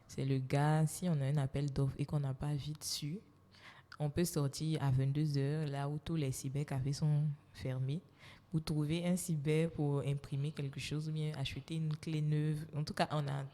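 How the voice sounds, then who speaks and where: Low, mellow-voiced woman interview guest. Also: level tone, normal speed. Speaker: guest, human resources specialist. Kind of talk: casual interview. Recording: long hall reverb.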